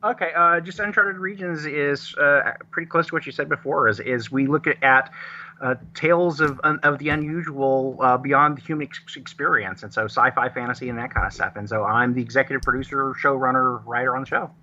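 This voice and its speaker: A man being interviewed on the radio as a guest, explaining things in a halting, casual way. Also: mid-range voice, pushed and bright, dull audio, slight background noise. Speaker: guest, audio drama producer and writer.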